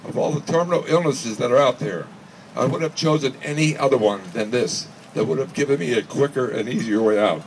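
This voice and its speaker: A man reading a prepared speech at a charity event in an earnest, measured way, occasionally stumbling over words. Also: mid-range voice. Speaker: speaker reading another person's speech. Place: charity event finish line, before a gathering. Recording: distant PA speech with background noise.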